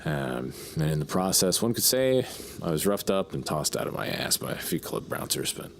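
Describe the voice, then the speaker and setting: A man's voice: very deep voice, very animated, normal speed, vocal fry, slight background noise, heavily acted. A man playing a troubled, sardonic writer in an audio drama, speaking in wordy, mannered, slightly hesitant phrases. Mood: courteous, wry.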